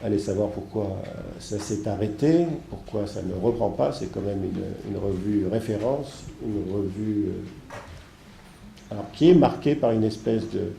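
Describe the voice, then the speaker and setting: A man with a congested head cold gives a halting, digressive introduction as host of a seminar. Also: fairly low pitch, gravelly, warm tone. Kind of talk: spoken introduction of a seminar, semi-improvised. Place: seminar room with an audience. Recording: echoey room with faint background noise.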